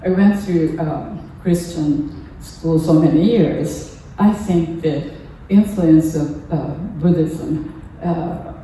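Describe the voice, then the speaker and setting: An older woman from Japan, a native Japanese speaker with a Japanese accent, gives a halting, earnest personal talk as a lay speaker at a temple service. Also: fairly low pitch, dark tone. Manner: halting, earnest, pausing often mid-phrase. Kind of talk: personal testimony talk to a congregation. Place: temple hall during a service. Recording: echoey room, distant mic, faint noise.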